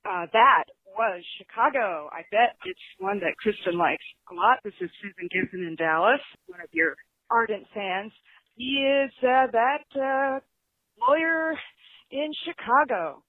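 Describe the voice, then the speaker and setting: A woman's voice: fairly high pitch, very animated, measured pace, no room sound. A woman leaving a casual voicemail as a listener of the show, over the phone. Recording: tinny narrow phone line.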